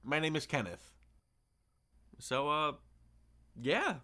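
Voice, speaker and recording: A man's voice: a little high, big pitch swings, takes it slowly, pushed and bright, full character voice. A man playing a friendly, awkward delivery driver in an audio roleplay, chatty and sheepish, rambling in a casual way. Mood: awkwardly friendly.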